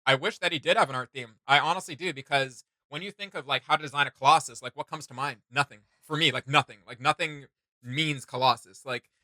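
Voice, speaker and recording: A man's voice: a little high, a little fast, pushed and bright, no echo. A man hosting a casual reaction commentary, talking in an enthusiastic, rambling way. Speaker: reaction video host.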